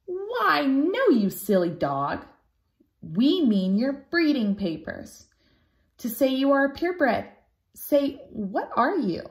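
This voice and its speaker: A woman's voice: sits high, very animated, takes it slowly, pitched above the performer's own voice, heavily acted. A woman voicing a snooty, pompous purebred dog in a children's storybook, talking down condescendingly. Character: snooty purebred show dogs, haughty poodle. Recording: roomy home recording.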